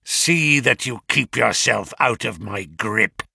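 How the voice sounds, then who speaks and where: Man acting a furious old villain, animated and forceful. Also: fairly low pitch, takes it slowly, gravelly, slightly rough, no room sound, studio quality, exaggerated voice. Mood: threatening. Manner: snarling, screaming, threatening. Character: furious, menacing, huge old male villain, a doctor.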